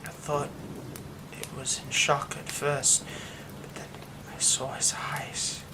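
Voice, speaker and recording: A haunted young man hiding a werewolf curse, played by a man. He talks slowly in a hushed, halting way, often slipping into whispers. A steady hiss sits under the recording. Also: mid-range voice, lightly acted. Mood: nervous, haunted. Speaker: ASMR roleplay performer. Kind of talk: ASMR horror roleplay.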